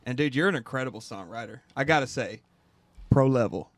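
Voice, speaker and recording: A man's voice: medium pitch, brisk, no echo. A male podcast host talking casually, enthusiastic and swearing freely. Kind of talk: casual conversation.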